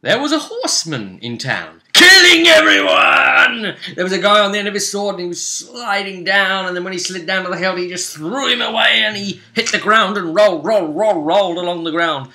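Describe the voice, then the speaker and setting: A man recapping a tabletop role-playing session as game master, in a casual, animated storytelling manner. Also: high-pitched, a little fast, creaky, hardly any pauses.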